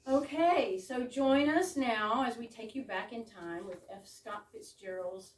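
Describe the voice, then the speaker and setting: A woman's voice: fairly high pitch, unhurried. A woman hosting a readers theater evening, giving a live welcome speech with theatrical flourishes and chatty asides. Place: room in a private home with a small audience. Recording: echoey room, distant mic, background noise.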